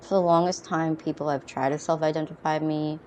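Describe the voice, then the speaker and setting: A man's voice: on the high side, little pitch movement, fairly quick, slight background noise. A young man from China, adopted young, speaking reflectively in a documentary interview, often saying "you know".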